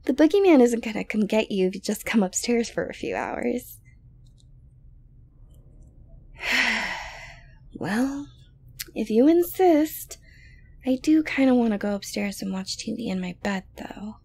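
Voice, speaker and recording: A woman playing an obsessive, dominant yandere girlfriend in an ASMR roleplay, creepily tender and condescendingly sweet, breathy and often close to a whisper. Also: fairly high pitch, expressive, darkish tone, exaggerated voice. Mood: tired, coaxing. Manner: slow, coaxing, condescendingly sweet. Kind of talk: ASMR roleplay monologue to the listener.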